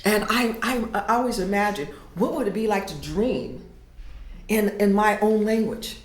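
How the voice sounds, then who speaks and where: A woman poet reading her verse slowly and dramatically, sinking to whispers at times, then talking casually about her writing. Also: mid-range voice, a little hiss or hum. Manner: slow, dramatic reading with hushed whispered lines. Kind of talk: poetry reading and casual interview. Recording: echoey room.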